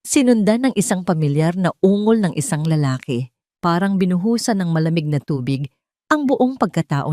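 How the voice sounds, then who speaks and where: A woman narrating a scripted audio drama in a measured, dramatic storytelling manner. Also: sits low, a little mellow, no echo, studio quality.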